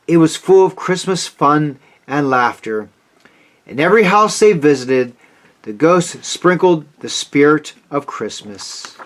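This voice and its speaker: A man reading a children's story aloud as a scripted narration, with expressive, dramatic delivery. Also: fairly high pitch.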